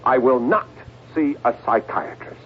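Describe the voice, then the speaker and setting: A man's voice: medium pitch, unhurried, lightly acted. An actor playing an edgy, evasive stockbroker in his thirties in a radio drama, hesitant and defensive. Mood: irritated, defensive. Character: edgy, evasive 37-year-old husband and stockbroker. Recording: muffled, noisy old radio broadcast.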